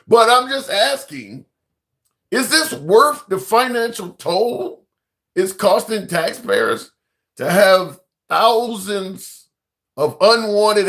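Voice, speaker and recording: A man hosts a casual political call-in show on a livestream. His voice sits a bit high, and he talks straight to his viewers and callers. He rambles, leans on his points with emphasis and swears casually. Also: big pitch swings, takes it slowly, a bit bright.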